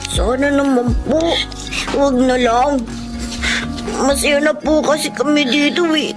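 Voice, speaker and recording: A woman's voice: high voice, fairly even intonation, a little slow, over music. A woman voicing a sweet little boy, polite and pleading, in a Filipino radio drama. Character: sweet devout little boy, younger brother.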